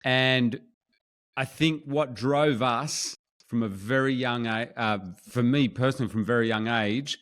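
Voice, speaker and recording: A man in his fifties with an Australian accent, a podcast guest telling his story in a friendly, relaxed way. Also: mid-range voice, unhurried. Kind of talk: casual interview chat.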